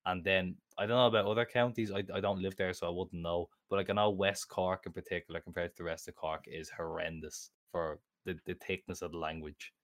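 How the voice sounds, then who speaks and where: A man's voice: low-pitched, fairly even intonation, brisk, hardly any pauses, no room sound, clean studio sound. A young man with a Dublin Irish accent, chatting casually as podcast co-host and rambling with lots of "like"s. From Ireland. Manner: rambling, self-interrupting with many "like"s. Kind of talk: casual chat.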